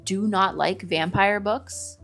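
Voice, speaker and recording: A woman's voice: medium pitch, steady tone, takes it slowly, creaky, a bit bright, soft background music. A woman co-hosting a book show, chatting informally and bluntly with a friend as she confesses her opinions. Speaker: co-host of a book discussion show. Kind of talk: casual confessional chat with a friend.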